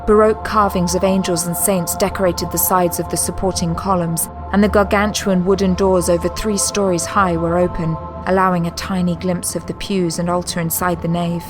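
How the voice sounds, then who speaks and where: A woman's voice: on the low side, flat delivery, normal speed, few pauses. A woman reading scripted, dramatic story narration for a sci-fi audio drama. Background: music.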